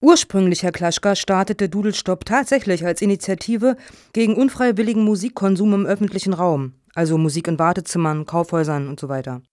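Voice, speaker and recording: A woman's voice: fairly low pitch, a little fast, a little mellow, no room sound, clean studio sound, close to an everyday voice. A woman playing a courteous, controlled jury moderator in a radio satire, with a subtly wry manner. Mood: businesslike. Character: polite, dry, controlled moderator of a funding jury. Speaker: actor in satirical radio play.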